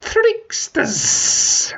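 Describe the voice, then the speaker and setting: A man voicing a scheming, slippery male dragon in a storybook reading, with a shrill, hissing voice and slow, drawn-out, mocking speech. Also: bright, forceful tone. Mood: menacing.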